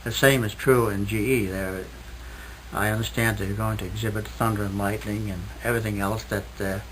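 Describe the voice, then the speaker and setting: A man giving a technical explanation as a radio interview guest, speaking in a halting, hesitant way with precise wording. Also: fairly low pitch, fairly even intonation. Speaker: interview guest, loudspeaker inventor. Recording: noisy old 1960s radio recording.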